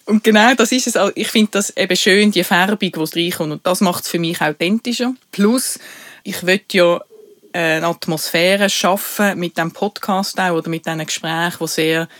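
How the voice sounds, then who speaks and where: A woman's voice: lowish voice, normal speed, fairly bright tone, dry recording, clean studio sound. A woman from Switzerland with a Basel Swiss German accent, co-hosting a podcast in casual conversation, thoughtful and hesitant, laughing easily. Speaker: podcast co-host.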